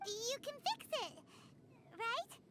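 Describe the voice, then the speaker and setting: A woman voicing a tiny, excitable fairy companion in an anime video game, with a squeaky, childlike, bubbly delivery. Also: slow, a little hiss or hum, exaggerated voice. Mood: eager. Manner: bubbly, eager.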